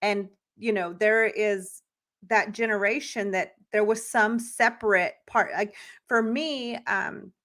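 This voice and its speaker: A woman's voice: medium pitch, slow pace. Reflective woman in her thirties hosting. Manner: reflective, steady and articulate. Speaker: host. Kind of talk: conversational interview.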